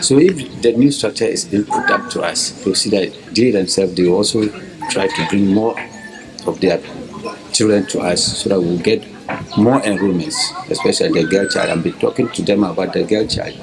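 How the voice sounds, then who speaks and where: A man's voice: fairly low pitch, moderate pace, poor audio. A man with a Ghanaian accent, a head teacher speaking plainly in a documentary interview. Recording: documentary clip over music.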